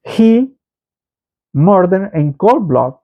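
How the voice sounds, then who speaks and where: A middle-aged man from El Salvador with a Salvadoran Spanish accent gives opinionated political commentary in an emphatic, halting way. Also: sits high, very animated. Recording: dull lo-fi microphone sound.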